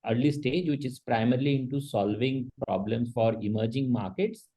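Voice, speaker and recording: A man's voice: medium pitch, moderate pace, dark tone. A man, an investor and protocol lead, introducing himself on a video call.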